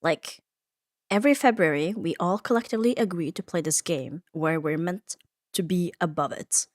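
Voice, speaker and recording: A woman's voice: fairly low pitch, moderate pace, no room sound, clean studio sound. A woman delivering a scripted comfort ASMR monologue in an earnest, reflective manner, leaning on short emphatic phrases. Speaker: ASMR audio performer.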